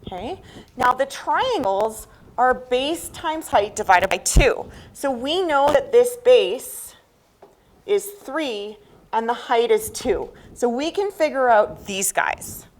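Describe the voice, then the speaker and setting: A woman's voice: on the high side, lively intonation, slow pace, low-quality recording. A woman teaching a math class, explaining step by step and often checking understanding with questions.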